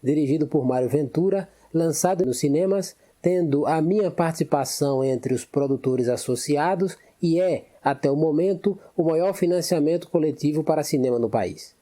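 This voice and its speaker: Male radio columnist, very dark, mellow tone, formal scripted read. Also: fairly high pitch, normal speed, few pauses. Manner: steady, formal delivery. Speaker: cultural segment columnist. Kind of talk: scripted reading.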